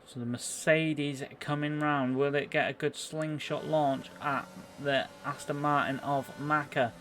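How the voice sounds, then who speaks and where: A man giving live play-by-play commentary on an online racing game, a little halting as he thinks through the action. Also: on the high side, steady tone, fairly bright tone, slight background noise.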